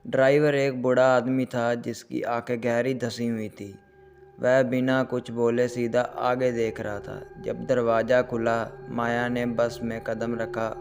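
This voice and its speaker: Casting: male audio-story narrator, unhurried and very even, over prominent music. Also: mid-range voice, creaky.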